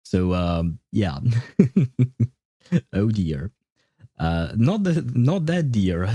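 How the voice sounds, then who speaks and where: A man's voice: lowish voice, mellow, no room sound. A man chatting casually as a game livestreamer, rambling and hesitant as he thinks aloud.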